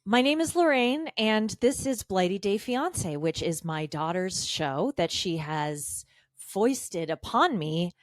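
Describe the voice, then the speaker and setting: A woman's voice: mid-range voice, lively intonation, slow pace, no room sound. A woman voicing Lorraine, a tired, blunt middle-aged mother who speaks dryly and runs down her daughter. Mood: put-upon. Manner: dry, put-upon, disparaging.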